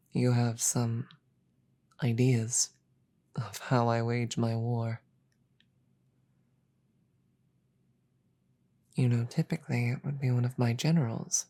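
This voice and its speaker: A woman voicing a regal, calm demon queen in a roleplay audio drama, speaking in a slow, deliberate, breathy murmur with measured pauses and quiet menace. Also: rumbling low, exaggerated voice. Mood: probing, cool.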